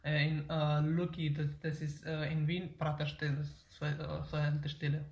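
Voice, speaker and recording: Interviewee: Afghan man, 19, Pashto native, halting German, monotone, roomy echo. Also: fairly high pitch, vocal fry, highs cut off. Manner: hesitant, frequent fillers and restarts. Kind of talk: interview about learning German.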